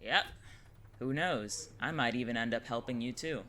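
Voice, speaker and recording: A woman's voice: very deep voice, normal speed, fairly bright tone, a little hiss or hum. A woman playing a brash, jaded witch in an audio drama, speaking with wry, breezy, theatrical sarcasm. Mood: wry, showy.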